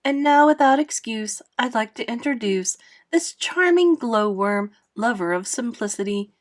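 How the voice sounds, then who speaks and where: A woman's voice: a little high, lively intonation, measured pace. A woman voicing a cheerful, polite small boy in a storybook, eager and proud, with a lilting delivery. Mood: showy, eager. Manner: eager, lilting, recites in rhyme.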